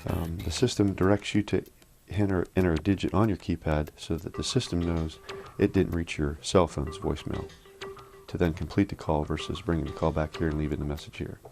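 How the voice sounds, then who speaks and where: Low-voiced man giving an informal demo. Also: fairly even intonation, creaky, a little mellow, soft background music. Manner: informal, halting, thinking aloud. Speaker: product demonstrator. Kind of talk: casual hands-on phone system demo.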